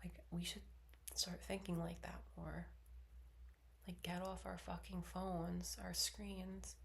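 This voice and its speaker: Twenty-something woman whispering asmr, gravelly low voice, lots of likes and ums. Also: flat delivery, slow. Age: 20s. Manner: soft unvoiced whisper, rambling with many fillers. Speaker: ASMR creator. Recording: faint background noise.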